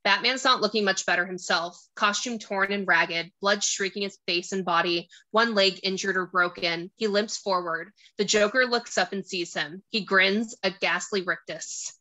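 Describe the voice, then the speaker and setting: A woman's voice: mid-range voice, pushed and bright, poor audio. A woman narrating a screenplay, reading out stage directions and scene descriptions.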